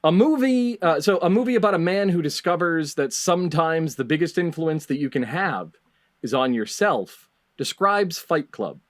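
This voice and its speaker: A man hosting a comedy panel game in a deliberate, mock-ceremonial way with dramatic pauses. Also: a little high, animated. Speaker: game show host and headmaster.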